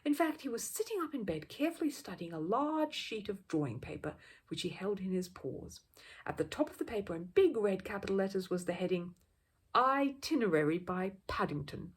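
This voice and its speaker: A woman reading a children's story aloud as an expressive, animated narrator. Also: mid-range voice, brisk, slightly rough.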